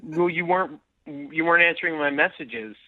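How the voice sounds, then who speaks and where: A man calling in to a radio show by phone, talking in a nervous, hesitant, halting way. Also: on the high side, a crowd in the background. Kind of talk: casual phone talk. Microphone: telephone. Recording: narrow dry phone line.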